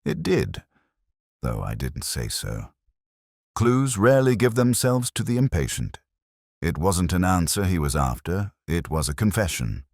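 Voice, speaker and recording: A world-weary ageing inspector, played by a man, recounts his case in an extremely low voice. His delivery is literary and melancholy, with expressive rises and falls. The sound is dry and close, in the style of a detective audio drama. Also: no room sound, full character voice. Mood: brooding. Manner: literary, measured, brooding. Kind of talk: scripted first-person detective audio drama narration.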